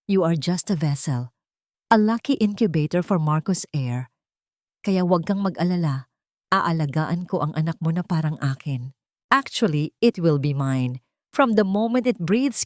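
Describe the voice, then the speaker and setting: A woman's voice: fairly low pitch, expressive, normal speed, no room sound, natural voice. Woman narrator as rich scheming mistress, mock-sweet, taunting, gloating, dry close sound, audio story villain. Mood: venomous, possessive.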